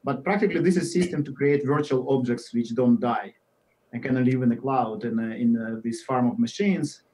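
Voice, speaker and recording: A man in his forties with a Russian accent, answering interview questions in a halting way, with many fillers and trailing off at the ends of phrases. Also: medium pitch, dark tone, poor audio. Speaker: guest software engineer.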